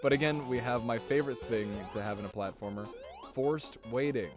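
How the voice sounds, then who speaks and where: A man streaming a video game, chatting casually with viewers in an excitable, rambling way and swearing often. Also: mid-range voice, fairly quick. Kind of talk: casual gameplay commentary. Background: music.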